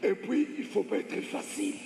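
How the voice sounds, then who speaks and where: A man's voice: very high-pitched, normal speed, vocal fry, mellow, a little hiss or hum. A man preaching emphatically, acting out stories in short phrases left for an interpreter. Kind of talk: animated sermon, acting out anecdotes. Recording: echoey hall, music behind. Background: music.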